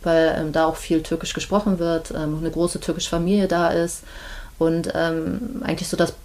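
A woman speaks with a fairly low voice in a casual conversation. She talks thoughtfully, often pausing and hesitating before she finds her words. She comes across as an easygoing interview guest. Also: flat delivery, a little mellow. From Germany, Hamburg. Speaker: midwife, podcast guest.

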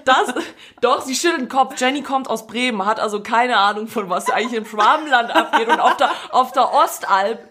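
A woman's voice: fairly high pitch, a little fast, fairly bright tone. A woman from Swabia co-hosting a podcast, chatting casually, joking and mimicking voices. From Swabia, Germany. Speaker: podcast co-host. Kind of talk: casual chat.

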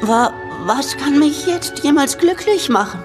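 A woman plays a little cartoon monster prince, a sad boy with a high, plaintive whine. Music plays clearly behind him. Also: expressive, a little slow. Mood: pleading, sad.

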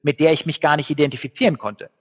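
A man speaking as a guest in an interview, telling stories quickly with fillers. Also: on the high side, husky. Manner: fast, animated storytelling with fillers. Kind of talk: conversational interview. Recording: tinny phone-like line with long reverb.

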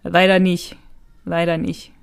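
A woman's voice: lowish voice, monotone, unhurried, gravelly, clear, resonant tone, no room sound. Woman co-hosting, dry close sound. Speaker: podcast co-host. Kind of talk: casual chat.

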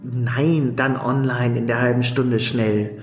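A man's voice: mid-range voice, steady tone, slow pace, faint music behind. Thoughtful man in an echoey interview. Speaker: interview guest. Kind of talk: casual interview chat.

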